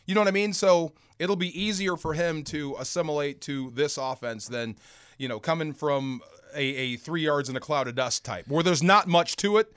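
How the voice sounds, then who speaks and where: A man's voice: on the high side, moderate pace, a bit bright, clean tone, dry recording, studio quality. An American man giving conversational football analysis as a sports show guest, explaining his points with examples.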